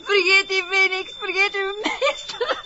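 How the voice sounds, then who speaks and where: A woman's voice: very high voice, fairly even intonation, measured pace, over music. An actress playing a terrified, desperate Flemish woman, pleading through tears. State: crying. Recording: muffled sound with clear noise.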